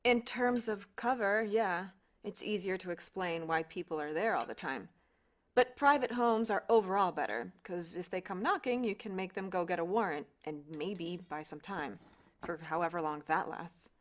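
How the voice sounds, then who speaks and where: An actress playing a warm, teasing woman doctor in a naturalistic audio drama, talking casually and telling stories with animation. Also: on the low side, normal speed, natural voice. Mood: easygoing, frank. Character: warm, teasing woman doctor, old flame, sheltering fugitives.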